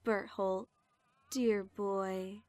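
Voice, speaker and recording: A woman's voice: medium pitch, flat delivery, very slow, darkish tone, no room sound. A woman playing a haughty, composed noblewoman in an audio drama, formal and politely condescending. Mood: coolly condescending. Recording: music under the voice.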